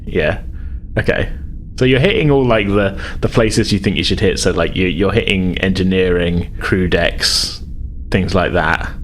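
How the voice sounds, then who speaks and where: A man's voice: low-pitched, measured pace, slight background noise. A man acting as game master, chatting casually and improvising during a tabletop role-playing game.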